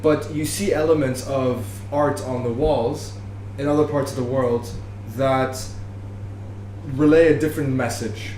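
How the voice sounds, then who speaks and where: A man from Lebanon giving a thoughtful, halting answer as a researcher on a discussion panel. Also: medium pitch, fairly even intonation, a little mellow. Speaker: panelist, researcher of street art. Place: bar venue, live audience discussion. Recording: echoey room, background noise, live event.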